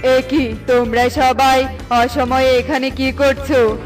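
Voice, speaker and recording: A woman's voice: sits high, flat delivery, takes it slowly, music in the background, voice pushed down. Woman acting a commanding, exclamatory eagle king. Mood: indignant, protective. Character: kindly, indignant eagle king, protector of birds. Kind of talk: children's cartoon voice acting.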